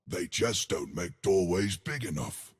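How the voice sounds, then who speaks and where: An actor playing a huge, ancient living stone colossus for a video game, with a gravelly, husky voice and hearty, simple-minded bravado. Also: male voice, sits low, animated, a little slow, exaggerated voice. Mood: proud, amiable. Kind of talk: video game character voice lines.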